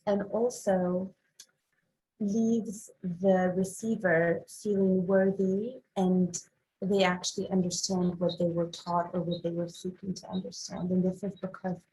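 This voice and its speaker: A young woman from Morocco speaks as a podcast guest, with a steady, fairly flat tone. She answers thoughtfully, pausing on ums as she works out what she means. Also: on the low side, poor audio. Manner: thoughtful, measured, with frequent ums. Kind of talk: casual interview talk.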